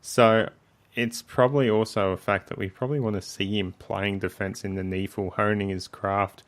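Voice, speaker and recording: A lowish-voiced man with a croaky throat hosts a laid-back sports podcast. He runs through the segments and steers the talk. He fills his sentences with relaxed ums. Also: moderate pace. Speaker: podcast host. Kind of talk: casual sports podcast chat.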